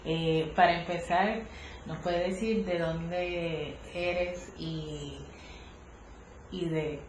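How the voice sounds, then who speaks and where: A woman student interviewer asking formal, somewhat halting questions. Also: lowish voice, fairly even intonation. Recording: echoey room with background noise.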